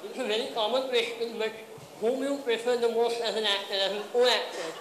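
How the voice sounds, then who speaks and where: A man in the audience asking an earnest, slightly halting question. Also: very high voice, fairly even intonation. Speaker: audience member. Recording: echoey hall, noise.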